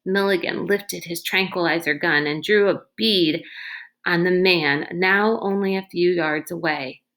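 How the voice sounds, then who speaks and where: A woman's voice: lowish voice, unhurried, fairly bright tone. A woman narrating a storybook aloud expressively, as a reader does.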